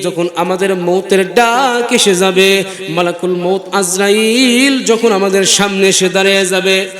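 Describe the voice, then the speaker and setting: A man leading an emotional, half-chanted prayer sermon, pleading and wailing in drawn-out cries. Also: sits high, steady tone, faint music behind. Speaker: preacher leading congregational prayer. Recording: echoey hall, long reverb, loudspeaker sound.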